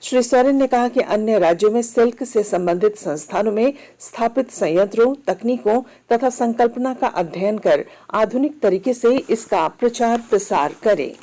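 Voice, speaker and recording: A woman reads a scripted regional news bulletin in a measured, formal manner. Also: on the high side, dark tone. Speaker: news reader.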